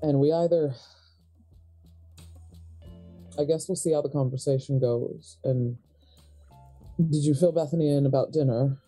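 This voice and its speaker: A woman playing a shrewd, manipulative sister from an occult family in a tabletop horror game, with a measured, pragmatic delivery. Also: low-pitched, steady tone, dark tone, soft background music. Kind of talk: improvised tabletop horror roleplay.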